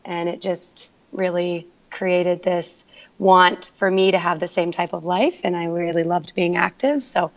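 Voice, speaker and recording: Guest: woman teacher, lowish voice, earnest storyteller, slightly muffled remote line. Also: steady tone, unhurried. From Canada. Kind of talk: conversational interview. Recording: dull lo-fi remote audio.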